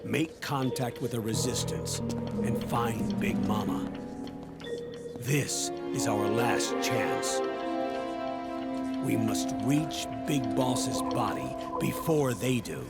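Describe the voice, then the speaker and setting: An actor playing a serious commander who gives a grave, deliberate mission briefing in a video game. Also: male voice, medium pitch, expressive. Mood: grave, urgent. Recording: loud music under the voice.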